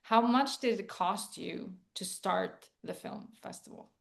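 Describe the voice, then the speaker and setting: A woman hosting an interview podcast, encouraging and quick with approval. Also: mid-range voice, gravelly. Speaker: podcast host. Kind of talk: casual interview.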